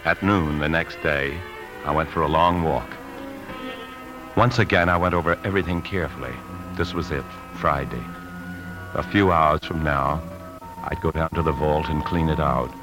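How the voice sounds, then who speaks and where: An actor playing an outwardly calm but secretly anxious bank man, with tense, clipped delivery in 1950s radio drama style. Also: rumbling low, moderate pace, pauses often, over music, poor audio. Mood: grave, confiding. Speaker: lead actor and narrator. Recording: vintage 1950s radio broadcast.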